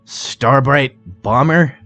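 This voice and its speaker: A man's voice: mid-range voice, takes it slowly, bright, forceful tone, soft background music, voice pushed up. A man voicing a smug male pony thief, arrogant and mocking, in a storybook reading. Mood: cocky. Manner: sneering, arrogant.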